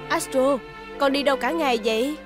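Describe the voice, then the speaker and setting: A woman's voice: sits high, fairly quick, soft background music, natural voice. A woman voicing a concerned, strict mother in a cartoon dub, with a motherly manner.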